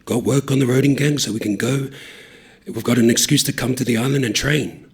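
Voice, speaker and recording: A man voicing a cheeky young male road worker who spins deadpan tall tales in a low, conspiratorial way. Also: brisk, husky.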